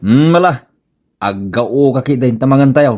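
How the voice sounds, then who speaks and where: A man's voice: medium pitch, expressive, moderate pace, darkish tone, lightly acted. A man with an Ilocano accent playing a vain, sly village husband in a comedy radio drama, teasing and self-satisfied. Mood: coy.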